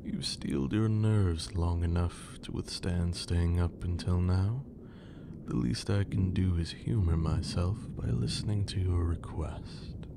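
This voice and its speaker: A man playing a haughty, menacing, teasing vampire lord in an ASMR roleplay, with a theatrical drawl that often sinks toward a breathy whisper. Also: sits low, measured pace, noisy background. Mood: amused warning. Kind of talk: ASMR roleplay, scripted character acting.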